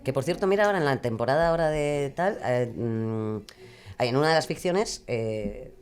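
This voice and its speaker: Podcast guest, woman, Spain accent. Very low register, expressive melody, casual emphatic banter. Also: normal speed, clear, resonant tone, soft background music. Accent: Spain Spanish. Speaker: podcast guest, true crime narrator. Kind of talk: casual chat.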